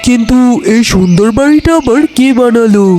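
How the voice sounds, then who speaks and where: A man's voice: very high-pitched, expressive, normal speed, darkish tone, soft background music. A man voicing a familiar, exclamatory tiger uncle in a children's cartoon fable. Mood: astonished.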